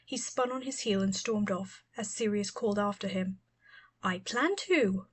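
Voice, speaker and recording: A woman's voice: mid-range voice, moderate pace, husky. A woman reading a scripted story as an audiobook narrator.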